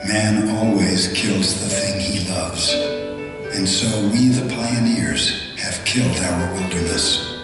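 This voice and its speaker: A man's voice: low-pitched, slow, distant mic. A man giving a literary reading. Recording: echoey hall playback, loud music.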